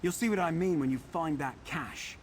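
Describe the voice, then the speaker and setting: Male actor as pampered runaway nobleman, higher-pitched, wry, aggrieved, fantasy game dialogue, light background noise. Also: moderate pace, lightly acted. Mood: disdainful, relieved. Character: fussy pampered defector from a wizard house, put-upon. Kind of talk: video game character dialogue.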